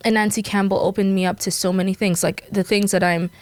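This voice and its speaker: A woman's voice: medium pitch, steady tone, fast talker, no echo. A young woman from Guyana, a guest talking casually in an interview with lots of fillers and frequent laughs. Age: young adult. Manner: chatty, laughs often, many fillers. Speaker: podcast guest.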